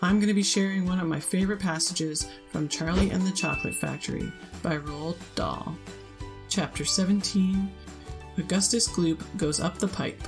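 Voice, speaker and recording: A woman storytime host with a slightly low voice. She reads children's fiction aloud as an expressive narrator, addressing her listeners directly.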